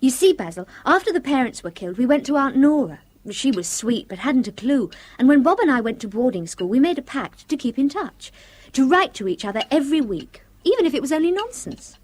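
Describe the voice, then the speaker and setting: A woman's voice: high voice, very animated, fairly quick. An actress playing an affectionate, gushing young woman secretary in a radio drama. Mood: fond. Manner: warm, gushing, fond. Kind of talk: 1970s radio drama acting.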